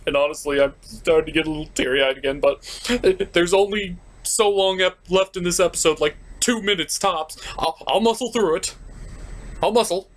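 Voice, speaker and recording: A man voicing a military puppet co-host who talks tough but is secretly sentimental, in halting, defensive comic banter. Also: sits high, close to an everyday voice. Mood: holding back tears. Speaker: co-host of a cartoon review show.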